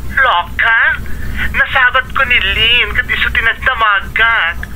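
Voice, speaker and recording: A woman's voice: on the high side, measured pace, background noise, close to an everyday voice. A woman acting a chatty friend back from abroad in an Ilocano radio drama. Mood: cheerful, chatty.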